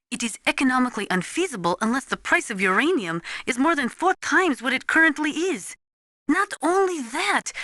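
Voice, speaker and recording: A woman's voice: on the high side, pushed and bright, no echo, studio quality. A woman voicing a serious, knowledgeable nuclear weapons analyst in a video game, speaking formally and precisely in scripted, lecturing explanations. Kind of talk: scripted expository game dialogue.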